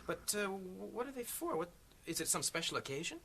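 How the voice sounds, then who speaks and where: Young male waiter, high voice, swooping, eager, panicky, naive, British radio drama, some background noise. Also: big pitch swings, a little fast, sharp tone, exaggerated voice. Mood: amazed. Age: young adult.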